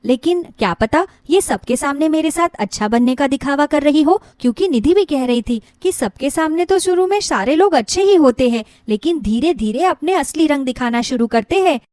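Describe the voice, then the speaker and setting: A woman's voice: high-pitched, lively intonation, moderate pace, hardly any pauses, a little mellow, no echo, clean studio sound. A woman voicing an anxious young bride who frets plaintively, in a moral story. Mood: worried, plaintive. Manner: worried, plaintive. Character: anxious young bride fretting about her new in-laws. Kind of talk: animated moral-story character voice.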